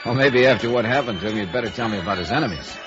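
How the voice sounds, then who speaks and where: A man's voice: medium pitch, racing, close to an everyday voice. An actor playing a wry, easygoing male insurance investigator in a 1950s radio noir drama, bantering and narrating in a hard-boiled way. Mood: casual, probing. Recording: dull vintage radio recording with music.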